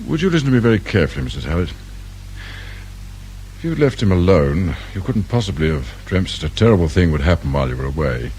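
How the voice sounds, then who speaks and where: An actor with a British accent playing a shrewd, dry, irritable detective inspector in a radio crime drama. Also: male voice, low-pitched, big pitch swings, fast, a little mellow, a little hiss or hum. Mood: probing, measured. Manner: probing, brusque, sardonic.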